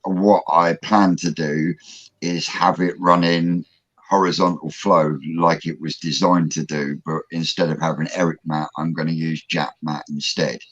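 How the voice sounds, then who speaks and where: Man, very low voice, rambling host, dull lo-fi sound. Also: level tone, a little slow, fairly bright tone, no room sound. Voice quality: rambling, technical explanations with many fillers. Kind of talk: casual livestream chat. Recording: dull lo-fi audio.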